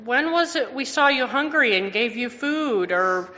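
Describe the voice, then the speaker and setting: A woman's voice: on the high side, animated, measured pace, pushed and bright. A woman voicing the puzzled righteous people questioning the Lord in a scripture reading. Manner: questioning, pleading. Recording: dull sound with long church reverb.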